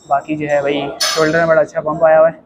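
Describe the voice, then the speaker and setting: A man's voice: fairly high pitch, completely flat delivery, fast talker. A young man talking casually to the camera between sets, in a gym. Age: young adult. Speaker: gym vlogger. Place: busy indoor gym. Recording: gym crowd noise behind.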